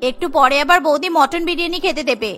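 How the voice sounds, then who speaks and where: A woman's voice: high voice, quick pace, bright, forceful tone. A woman playing an idle, spoilt young sister-in-law in a cartoon, chatty and wheedling. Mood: gleeful. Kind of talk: cartoon voice acting.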